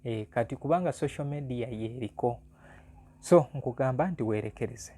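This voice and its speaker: A man's voice: mid-range voice, moderate pace, warm tone. A Ugandan man hosting an informal music vlog, talking conversationally and repeating phrases for emphasis. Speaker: music analyst and channel host.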